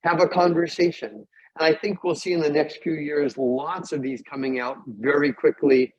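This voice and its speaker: A man's voice: a little high, fairly even intonation, moderate pace. A man who is a native English speaker, lecturing as a psychology professor in plain, short, emphatic sentences. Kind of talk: academic lecture and discussion. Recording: dull, muffled lo-fi audio.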